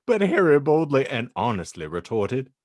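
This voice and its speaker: A man's voice: mid-range voice, sing-song, normal speed, a little mellow, dry recording, studio quality. A man hosting a comedy podcast, narrating and bantering in a wry, mock-formal way. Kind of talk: comic audiobook narration with banter.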